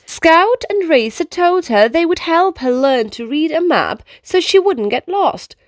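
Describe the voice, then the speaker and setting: A woman's voice: extremely high pitch, very animated, a little slow, no room sound, clean studio sound. A woman reading a children's storybook aloud, telling it expressively and explaining its lessons to young listeners. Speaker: children's book reader. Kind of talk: read-aloud storybook narration.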